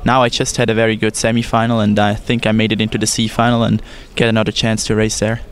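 A man's voice: lowish voice, monotone, quick pace, slight background noise. A young man from Switzerland giving short matter-of-fact interview answers in English outdoors into a handheld mic. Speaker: canoe sprint athlete. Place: outdoors by a lake course.

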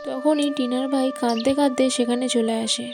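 A woman's voice: high voice, fairly even intonation, a little fast, darkish tone, faint music behind. A woman reading scripted storytelling narration for a cartoon moral tale.

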